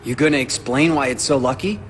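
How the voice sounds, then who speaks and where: A man's voice: medium pitch, fairly quick, slight background noise, natural voice. An actor playing a self-assured, guarded teenage boy in a video game, with a dry, laconic manner. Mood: cool, defiant. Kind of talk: cinematic video game acting. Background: music.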